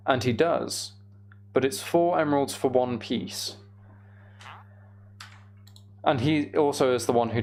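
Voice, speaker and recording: A young man giving casual, meandering gameplay commentary on a live stream, thinking aloud and chatting to viewers, with a creaky voice. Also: mid-range voice, darkish tone, slight background noise.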